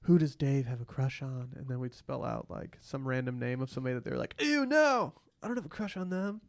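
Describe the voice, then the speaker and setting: A man's voice: medium pitch, lively intonation, brisk, gravelly, dry recording. An American man co-hosting a casual recap chat, giving his opinions bluntly. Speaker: podcast co-host.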